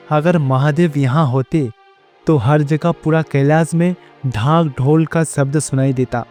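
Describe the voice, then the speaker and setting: A man's voice: fairly high pitch, dark tone, close to an everyday voice. A man playing a devoted, earnest bull attendant of the gods in a storybook tale. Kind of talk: storybook character dialogue.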